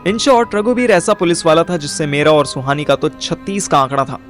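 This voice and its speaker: A man's voice: fairly high pitch, animated, brisk, soft background music. A man narrating a scripted first-person audio story as its storyteller.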